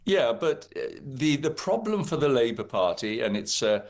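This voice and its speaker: A man's voice: medium pitch, sing-song, moderate pace. A man with a Welsh accent, a guest commentator on a live talk radio show, speaking emphatically with frequent fillers.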